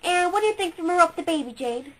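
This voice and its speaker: Bubbly excited star girl, woman reading her, high bright voice, all in a rush. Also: fast talker. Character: bright, eager young star girl, delighted and quick.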